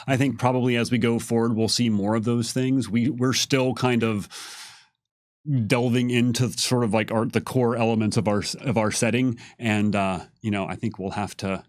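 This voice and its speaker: A man talking casually and haltingly, thinking aloud as he answers questions. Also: mid-range voice, level tone, no room sound, studio quality. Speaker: game developer answering fan questions on a stream. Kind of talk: casual unscripted Q&A talk.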